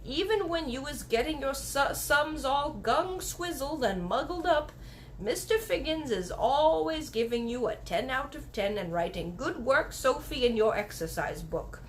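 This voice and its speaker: A woman voicing a gentle, earnest storybook giant who speaks slowly in muddled, childlike grammar with made-up words. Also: high-pitched, expressive, a little hiss or hum, pitched above the performer's own voice, poor audio. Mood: excited storytelling. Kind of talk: storybook read-aloud character voice.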